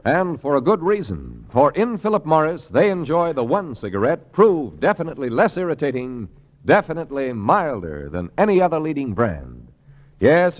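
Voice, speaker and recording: A man's voice: medium pitch, dull audio. Male commercial announcer, 1940s radio, emphatic polished salesman, mid-range voice with hugely varied intonation. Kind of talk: 1940s radio cigarette advertisement.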